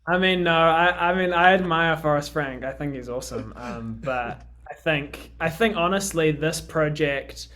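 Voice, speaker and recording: A young man from New Zealand with a Kiwi accent, chatting casually as a musician guest on a radio interview, pausing often with ums and restarts. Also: a little high, bright, forceful tone. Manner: hesitant, many ums and restarts.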